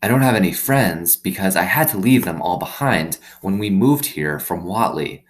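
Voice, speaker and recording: A man's voice: sits low, expressive, moderate pace. A man reading a storybook, voicing a lonely, earnest young girl who confides plainly in her dog. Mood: earnest, confiding. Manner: plain-spoken, confiding, childlike phrasing. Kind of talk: storybook read-aloud.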